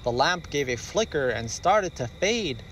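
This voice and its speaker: A man reading a rhyming children's story aloud in an expressive storyteller's style. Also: a little high, unhurried, slight background noise.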